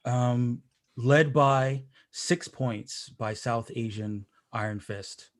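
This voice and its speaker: A male podcast host who jokes his way through a casual chat, often restarting his sentences as he rambles on. His voice sits at a middling pitch. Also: fairly even intonation, drawn-out words. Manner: rambling, joking, many restarts.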